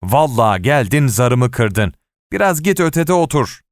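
A man's voice: mid-range voice, sing-song, a little slow, dry recording, clean studio sound. A man voicing an irritable, superstitious backgammon player, a grumbling sore loser, in an audiobook story. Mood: annoyed. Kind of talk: audiobook character dialogue.

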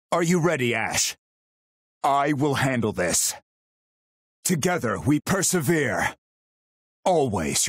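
Stoic royal guardian from a video game, low husky voice, terse and dutiful, dry close sound. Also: male voice, dry recording, heavily acted. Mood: resolute, then grim, solemn. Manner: terse, solemn, measured, formal. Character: stoic loyal male royal guardian warrior, solemn and dutiful. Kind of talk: video game battle voice lines.

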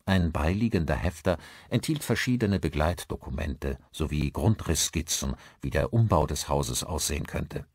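Male narrator, low register, dry close studio sound, measured and articulate thriller reading. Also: lively intonation, a little mellow, husky, no room sound. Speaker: audiobook narrator. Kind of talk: scripted audiobook narration of a thriller.

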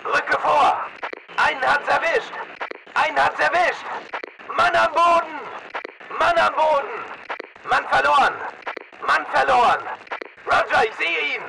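An actor playing a tense, hard-edged soldier in a video game, giving clipped military combat calls. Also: male voice, very high-pitched, measured pace, gravelly, pauses often, distant mic, noisy background, heavily acted. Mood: urgent, commanding.

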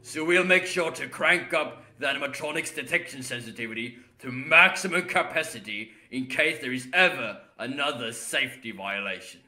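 A man's voice: medium pitch, expressive, normal speed, few pauses, sharp tone. An actor playing an evasive, matter-of-fact corporate spokesman in a game cutscene. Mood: smooth, defensive. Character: evasive corporate spokesman defending animatronic security. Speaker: company spokesman. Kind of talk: scripted game cutscene dialogue. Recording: roomy, faint background noise.

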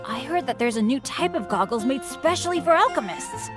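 Eager, bashful boy adventurer, very animated. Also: high voice, brisk. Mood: excited. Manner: earnest, hesitant, bashful, easily excitable. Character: unlucky, eager, self-effacing teenage boy adventurer. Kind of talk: English video game character dialogue. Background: game music.

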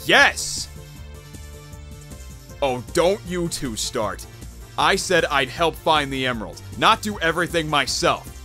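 A man's voice: fairly low pitch, expressive, moderate pace, fairly bright tone, faint music behind. A man voicing an earnest, exasperated young echidna treasure hunter in a cartoon fan dub, blunt and emphatic.

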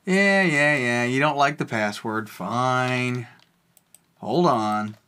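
Tech host chatting through a software demo, bright clear voice, rambles and thinks out loud. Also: mid-range voice, slow pace. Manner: rambling, thinks aloud while clicking. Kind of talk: casual screen-recorded software walkthrough.

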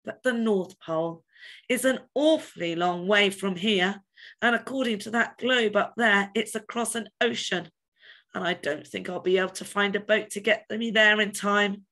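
A woman's voice: medium pitch, normal speed, a bit bright, pitched above the performer's own voice, natural voice. A woman voicing a tiny, nervous young male creature who speaks earnestly. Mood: worried.

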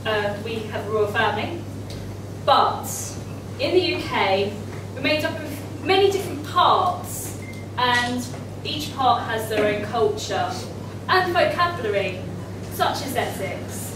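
A woman's voice: fairly high pitch, lively intonation, measured pace. Young English woman, student presenter, chatty and light; hall reverb, distant mic. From England. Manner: chatty, jokey. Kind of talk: stage presentation. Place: school auditorium stage. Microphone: handheld mic. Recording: echoey hall, far camera, noisy.

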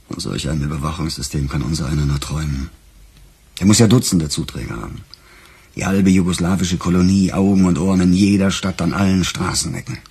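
An actor playing a sarcastic, embittered police detective in a radio crime drama, defensive and emphatic, with a husky voice. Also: male voice, low voice, fairly quick, darkish tone, full character voice.

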